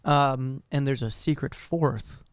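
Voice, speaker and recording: A man co-hosting a podcast in casual chat, a bit raspy from illness, jokey and rambling with fillers and laughs. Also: medium pitch, fairly even intonation, slow, no echo, clean studio sound. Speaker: podcast co-host.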